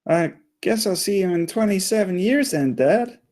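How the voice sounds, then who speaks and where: A man playing a naive, earnest eleven-year-old boy with a questioning manner in an animated comedy table read. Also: high voice, normal speed. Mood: dejected.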